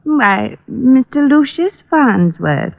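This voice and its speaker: A poised, evasive society wife in a 1940s radio play. Her high voice swings expressively and creaks in places. The formal, hesitant delivery sits on a dull old recording. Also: sing-song, takes it slowly, music in the background, heavily acted. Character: poised, evasive well-off married society woman. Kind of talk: 1940s radio drama acting.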